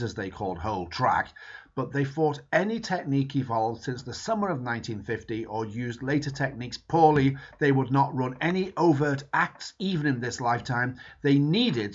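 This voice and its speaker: A man reading a book foreword aloud in a measured, emphatic way. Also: medium pitch, slightly rough. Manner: measured, emphatic reading with lively rises and falls. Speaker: reader. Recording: dull lo-fi recording.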